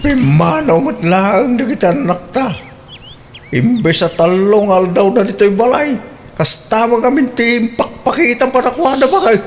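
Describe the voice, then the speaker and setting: A man's voice: very high voice, measured pace, faint music behind. A man acting a stubborn, teasing older father in a radio drama, speaking with an Ilocano accent. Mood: grumbling. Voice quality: blustering, swooping questions.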